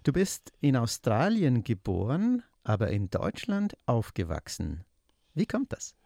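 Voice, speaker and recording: A man hosting an interview, relaxed and asking short leading questions. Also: mid-range voice, measured pace, vocal fry, dry recording, studio quality. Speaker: interview host. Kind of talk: conversational interview.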